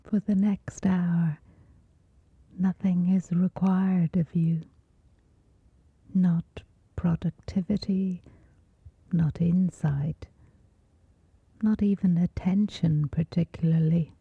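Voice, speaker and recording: A woman with a soft, whispery voice gently guides a scripted sleep meditation, soothing, with long pauses between short phrases. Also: on the low side, fairly even intonation, creaky, slightly rough, no echo, phone-quality sound.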